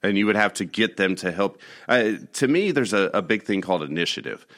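A man's voice: low-pitched, lively intonation, fairly quick, a bit bright. A man hosting a podcast, teasing his guests and steering the conversation back on track. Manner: teasing, wisecracking, steers the talk. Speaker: podcast host. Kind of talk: casual chat.

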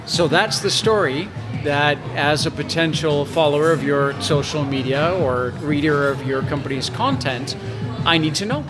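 Man, interviewer, slightly high voice, reflective, conversational, clear music behind. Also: moderate pace.

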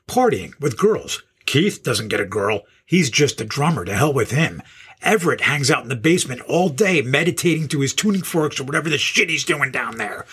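A man's voice: on the high side, fairly bright tone, slightly rough, exaggerated voice. A man acting in an audio horror drama as an angry, sarcastic rock drummer who is confrontational and fed up.

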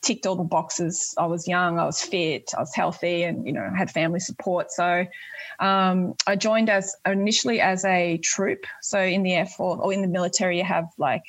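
A woman's voice: lowish voice, steady tone, normal speed, no echo. An Australian woman in her late forties talking conversationally as an interview guest, in a chatty, rambling way. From northwest Tasmania, Australia. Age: late 40s. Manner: chatty, rambling, frequent "you know". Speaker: interview guest, Air Force member. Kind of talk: conversational interview, recounting career.

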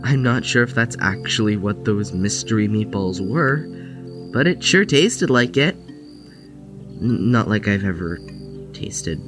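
A man playing a guarded, anxious young patient in an audio drama, with a halting, reserved manner. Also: lowish voice, lively intonation. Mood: wry, reflective. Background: music.

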